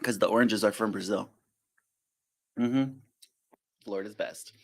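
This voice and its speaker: A man's voice: on the low side, measured pace, creaky. A man, a flight attendant hosting a casual live-stream Q&A, chatty and self-deprecating, drifting into tangents and acting out little quotes.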